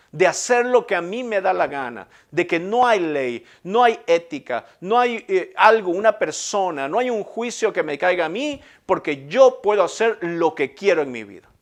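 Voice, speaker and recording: A middle-aged man from Ecuador with a Guayaquil Spanish accent, preaching a sermon as pastor with emphatic repetition and rhetorical questions. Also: high-pitched.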